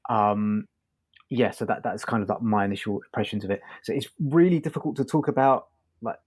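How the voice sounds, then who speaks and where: Guy with a lowish voice doing a casual podcast review, kind of hesitant, circles round his point. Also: a little fast, breathy edge.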